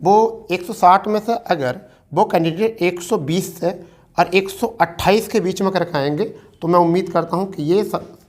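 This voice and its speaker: Higher-pitched male teacher. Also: moderate pace, a little mellow. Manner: repetitive, checks in with listeners often. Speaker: exam-prep teacher and presenter. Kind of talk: informal explainer lesson addressed to students.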